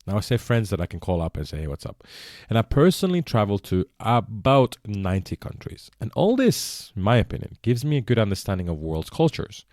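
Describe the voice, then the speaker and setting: A man's voice: sits low, darkish tone, no echo, clean studio sound. A man born in Iran and raised speaking Persian, hosting a solo podcast, explaining earnestly with frequent self-corrections. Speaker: podcast host.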